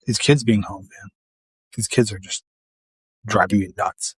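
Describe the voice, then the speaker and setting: A man's voice: on the low side, fairly even intonation, a little slow, husky, no room sound, poor audio. A man who works as a paramedic, talking casually and earnestly to camera, tired and losing his voice.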